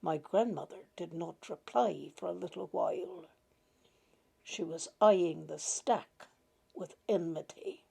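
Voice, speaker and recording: A woman gently reading a literary short story aloud in a measured, deliberate way. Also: on the low side, creaky, slightly rough. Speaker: reader of short stories.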